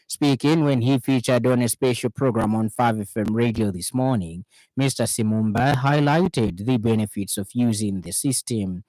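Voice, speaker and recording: A man's voice: medium pitch, normal speed, a little mellow, no echo, rough recording. Male Zambian radio news reader, formal scripted bulletin delivery, close dry sound. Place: radio studio.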